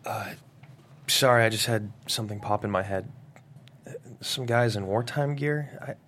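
A man plays a troubled, apologetic businessman in a drama table read. His voice sits fairly low and his delivery is halting and hesitant. There is noticeable room noise behind him. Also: fairly bright tone. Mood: shaken. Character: troubled, apologetic male businessman haunted by visions.

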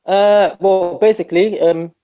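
A man's voice: high-pitched, fairly even intonation, measured pace, dark tone, lo-fi sound. A man who is a guest on a podcast, chatting in a laid-back, slangy way. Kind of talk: casual podcast chat.

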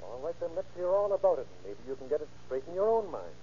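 Firm cop, very dark tone, swooping pitch, insistent, radio crime drama, dull vintage recording. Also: male voice, sing-song, fast talker, slight background noise. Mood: firm, coaxing. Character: firm, persistent male police interrogator. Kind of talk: old-time radio crime drama acting.

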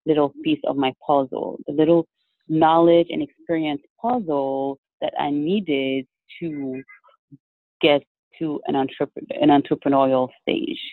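A man from Haiti explains things at a measured pace and often restarts his sentences. His voice sits a little high for a man and has a gravelly fry. It comes over a dry, tinny, phone-like line. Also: little pitch movement, dry recording. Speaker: guest entrepreneur. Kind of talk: interview answers.

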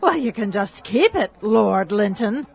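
An actress playing a theatrical, hot-tempered, vengeful mistress in an audio drama, melodramatic and sarcastic. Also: female voice, mid-range voice, big pitch swings, measured pace, gravelly, breathy edge, heavily acted. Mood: hurt, indignant.

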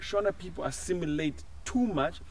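A man with a Zimbabwean accent talks on a casual podcast, slipping between English and Shona. His pitch is lively and rides up and down as he argues. He sits a bit back from the mic, so his voice sounds slightly distant. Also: normal speed, a crowd in the background. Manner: animated, interrupting, switching between English and Shona. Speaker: podcast co-host.

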